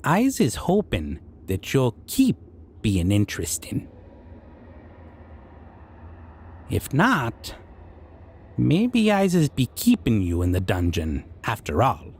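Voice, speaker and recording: Slow-talking, sly goblin king. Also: medium pitch, wildly swooping pitch, a little hiss or hum, exaggerated voice. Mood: scheming, smug. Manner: slow, broken-grammar speech, musing with hums. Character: civilized goblin king, male, sly, curious, menacing but amused. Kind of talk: character audio roleplay addressed to listener.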